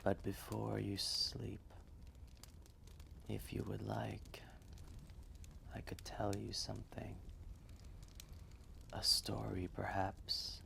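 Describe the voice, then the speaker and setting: A man voicing an ancient, gentle, wistful dragon telling a fireside roleplay story in a soft, breathy, measured and gravelly voice. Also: on the low side, completely flat delivery, a little hiss or hum, exaggerated voice. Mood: soothing, protective. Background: quiet fireplace ambience.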